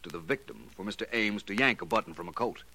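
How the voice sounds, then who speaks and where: An actor playing a suave, self-assured gentleman detective, polished and slightly superior, in a 1940s radio crime drama style. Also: lowish voice, quick pace, creaky, exaggerated voice. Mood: knowing, incisive.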